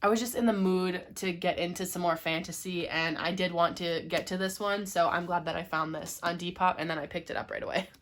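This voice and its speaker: A woman's voice: fairly low pitch, fairly even intonation, brisk, few pauses. A young woman hosting a casual book haul vlog, with a congested, cracky voice from a cold, chatty and laughing often. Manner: chatty, laughs often, frequent ums and likes. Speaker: booktuber host.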